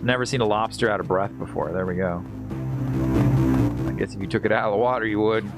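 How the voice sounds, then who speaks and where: A man's voice: fairly low pitch, fast. A man live streaming a video game, chatting casually with viewers in a rambling, jokey way and reacting aloud as he plays. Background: video game music.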